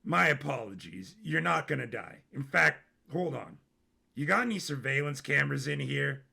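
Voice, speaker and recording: Man putting on a brash, bright-voiced robber prince. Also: medium pitch, expressive, normal speed, creaky, husky, full character voice. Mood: excited, reassuring. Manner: boisterous, cocky, theatrical. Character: brash, manic royal bastard robber, gleefully theatrical.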